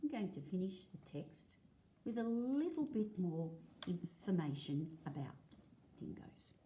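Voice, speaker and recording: Woman, teacher read-aloud, very unhurried, soft-edged mellow tone, measured explanations. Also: on the low side, big pitch swings, a little hiss or hum. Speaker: teacher reading aloud to pupils. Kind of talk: read-aloud lesson of a non-fiction picture book. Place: indoors at a table, filming a book.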